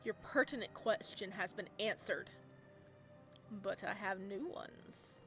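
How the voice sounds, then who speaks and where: A woman puts on a sneering shadow creature from a game story, low and raspy, dragging each word out slowly. It sounds unhinged and full of malice. Faint music plays behind it. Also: fairly bright tone. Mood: menacing.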